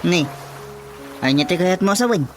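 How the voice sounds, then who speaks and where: Flustered Ilocano village councilor. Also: male voice, sits high, animated, normal speed, faint music behind. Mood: insistent. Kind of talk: Ilocano radio drama comedy acting.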